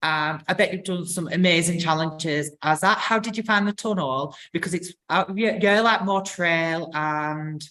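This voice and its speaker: A woman's voice: fairly low pitch, a little slow, few pauses, fairly bright tone. A woman, hosting a casual live interview in an informal, hesitant, rambling way. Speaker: host of a running channel.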